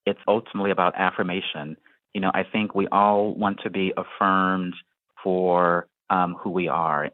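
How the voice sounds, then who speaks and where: A man, a speech-language pathologist, answering an interview question in a slow, reflective way. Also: fairly low pitch, no room sound. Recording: tinny phone-like remote line, dry.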